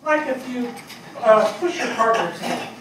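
An older man acting a plainspoken, earnest fire captain in a stage play reading, talking colloquially and haltingly with emphasis. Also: very high voice, expressive. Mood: shy enthusiasm. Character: plainspoken, earnest New York fire captain reminiscing fondly. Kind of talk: dramatic stage reading, conversational. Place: on stage in a theatre before an audience. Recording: distant mic, echoey hall, audible noise.

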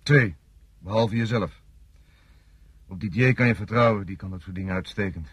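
A husky, fairly low male voice plays an army commander in a radio drama. He is firm and measured, issuing instructions bluntly and expecting obedience. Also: no room sound. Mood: brisk, instructing. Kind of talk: Dutch radio play acting.

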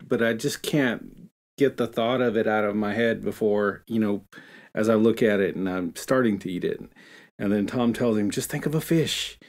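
A man co-hosting a podcast, retelling a book and reading from it aloud. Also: on the low side. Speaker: podcast co-host.

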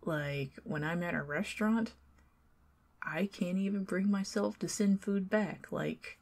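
A young woman with a Southern US accent talks slowly and chattily to the listener in an ASMR roleplay, sliding between soft speech and breathy whispers. Her voice sits low with a husky, gravelly texture. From Southern United States. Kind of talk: ASMR roleplay, talking to the listener as a friendly neighbor.

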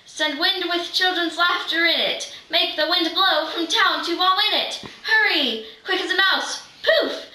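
A teenage girl playing an excitable, proud witch who chants spells dramatically in a readers' theater reading. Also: very high voice, exaggerated voice. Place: living room, far camera microphone. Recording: roomy, distant microphone.

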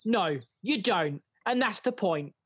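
A woman's voice: mid-range voice, animated, slow pace, breathy edge. A woman playing an earnest, plain-spoken young man in a radio pantomime. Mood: reproachful. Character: earnest young man, the squire's kindly son.

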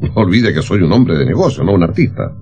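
A shrewd avuncular impresario, radio play, really deep voice that swings around a lot. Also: male voice, sing-song, a little fast, warm tone, highs cut off, soft background music. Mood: affable. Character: shrewd, tactful businessman, male impresario of a musician. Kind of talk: old radio drama acting.

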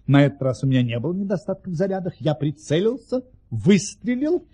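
Husky, animated aristocratic teller of tall tales. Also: male voice, a little high. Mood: relishing. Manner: unhurried, theatrical, mock-earnest raconteur. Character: Baron Munchausen, genial unflappable aristocrat spinning tall tales. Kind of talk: Russian audio play acting, storytelling.

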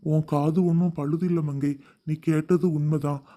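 A woman voicing a stern middle-aged father who speaks in a firm, measured way. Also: sits low, steady tone, mellow, pitched below the performer's own voice, natural voice.

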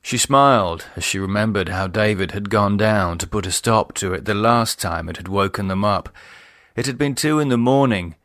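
British male audiobook narrator, low voice, dry close sound, scripted storytelling. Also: moderate pace, a bit bright, dry recording. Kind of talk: dramatic scripted narration of a novel.

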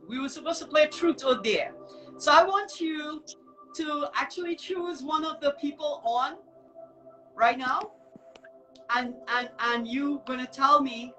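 A woman with a fairly high, very bright and forceful voice hosts a casual live show. She is effusive and encouraging, often repeating herself, with music playing behind her. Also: rough recording. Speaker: show host. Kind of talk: casual live online show chat with giveaway. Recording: music in the background.

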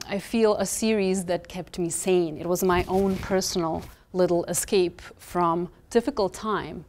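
Croatian-accented woman with a clear voice. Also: mid-range voice. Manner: thoughtful, with many fillers and gentle pauses. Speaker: visual artist. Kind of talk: reflective interview talk about her art. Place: gallery, indoors, in front of artworks.

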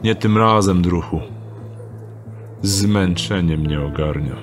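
A calculating rogue, voiced by a man, in an audio drama, speaking in a low, unhurried tone. He weighs every offer with dry skepticism. Music runs clearly beneath him. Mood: wary. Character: wary young male rogue Dieter, dry and calculating.

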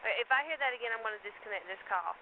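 A woman's voice: on the high side, animated, very fast. A woman working as a customer service agent on a support call, speaking in a curt, firm way. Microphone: telephone. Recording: tinny, very noisy phone line.

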